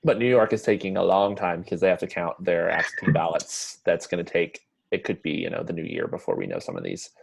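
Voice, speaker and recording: A young American man co-hosts a casual news chat from home. His voice is low, with a lot of creaky fry at the edges. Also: lively intonation, fairly quick, few pauses. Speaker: podcast co-host. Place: indoor room at home, video call.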